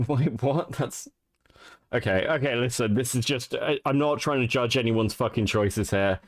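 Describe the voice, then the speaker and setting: A man's voice: mid-range voice, moderate pace, dry recording, studio quality. A man hosting a stream, reacting to clips with disbelief, swearing and laughing often. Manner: incredulous and profane, laughs often. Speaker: host.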